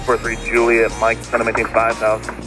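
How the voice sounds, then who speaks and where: A man's voice: medium pitch, monotone, fairly quick. A man working as an air traffic controller, giving clipped procedural instructions over aviation radio. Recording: aviation radio with loud music behind.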